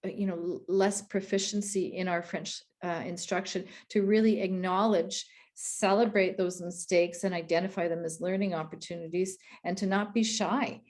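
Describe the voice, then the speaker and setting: A woman from Quebec, a school administrator, speaking earnestly in a panel discussion with a conversational, halting flow. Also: fairly low pitch, steady tone, darkish tone. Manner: earnest, conversational, many restarts.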